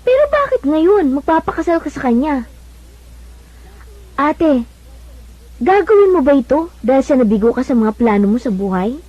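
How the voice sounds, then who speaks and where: An actress with a Filipino accent playing an earnest, worried younger sister who pleads, in a radio drama. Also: female voice, sits high, very animated, moderate pace, long pauses, warm tone, no room sound. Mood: worried, pleading. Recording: dull lo-fi radio broadcast.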